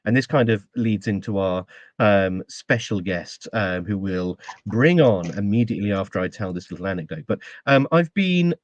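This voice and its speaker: A man's voice: on the low side, expressive, normal speed, dry recording, dull audio. A man hosting a podcast in casual chat, rambling with many ums and restarts before bringing on a guest. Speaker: podcast host.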